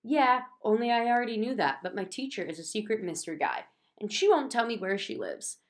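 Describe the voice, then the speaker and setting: A woman voicing an excitable, stubborn, bossy young schoolgirl in a children's storybook, with childish grammar and emphatic delivery. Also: a little high, a little fast, pitched above the performer's own voice, lightly acted. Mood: eager, pleading.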